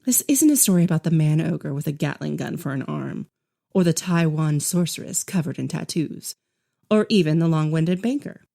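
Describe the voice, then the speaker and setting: Casting: actress as knowing witch preacher narrating a western, low, gravelly voice, measured storyteller. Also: animated, warm tone, full character voice. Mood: knowing, ominous. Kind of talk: scripted in-character book promo narration.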